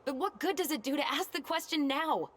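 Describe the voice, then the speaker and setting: A woman's voice: high voice, expressive, fairly quick. A woman playing a guarded, exasperated young adult sister in naturalistic video game dialogue. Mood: insistent, troubled.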